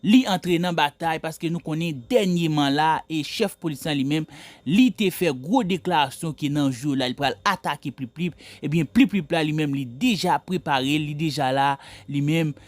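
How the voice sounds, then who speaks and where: A man's voice: a little high, normal speed, no echo. A man with a Haitian Creole accent hosting a news commentary show, speaking emphatically with repeated phrases.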